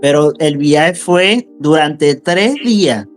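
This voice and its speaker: A man's voice: a little high, expressive, slow, faint music behind. A young man from central Venezuela with a Venezuelan accent, talking casually as an interview guest and repeating words for emphasis. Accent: Venezuelan Spanish.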